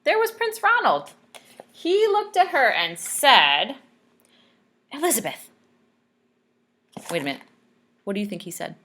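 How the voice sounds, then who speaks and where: A woman reading a story aloud to children in a teacherly, expressive way, pausing to ask questions. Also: on the high side, slight background noise. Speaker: speech therapist reading aloud.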